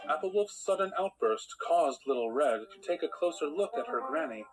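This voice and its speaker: A man's voice: a little high, expressive, a little fast, soft background music, low-quality recording. A man narrating a scripted fairy tale in storybook style.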